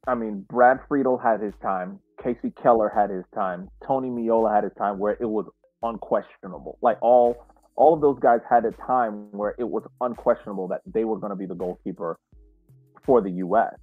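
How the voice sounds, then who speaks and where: An American man with a fairly low voice comes through thin, phone-like audio with little room sound. He gives casual sports analysis as a podcast co-host, reasoning point by point in a measured way. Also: no echo, soft background music.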